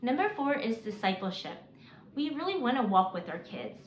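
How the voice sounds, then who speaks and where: A woman in her forties, raised in Mississippi, working through a prepared list of reasons in an earnest, measured way with occasional restarts. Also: fairly high pitch, sing-song. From South Korea, raised in Mississippi. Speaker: homeschooling mom. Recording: roomy, slightly dull, faint hiss.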